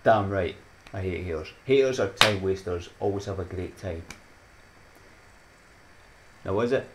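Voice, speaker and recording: A deep-voiced Scottish man in his thirties talks into a desk mic in a small room at home. He rambles in a loose, upbeat way, laughs at his own jokes and slips in motivational sayings. A faint hiss sits under the voice. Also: a little slow. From Scotland. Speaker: YouTuber. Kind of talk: casual chatty vlog outro.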